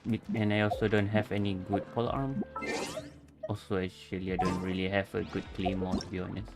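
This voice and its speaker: A man doing casual livestream game commentary in non-native English, haltingly thinking aloud, with game music behind him. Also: deep voice, little pitch movement. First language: not English. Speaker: streamer. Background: video game music.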